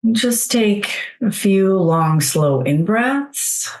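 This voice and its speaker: A woman leading a guided meditation in a soothing, gentle, unhurried way, leaving long pauses between phrases. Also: mid-range voice, lively intonation.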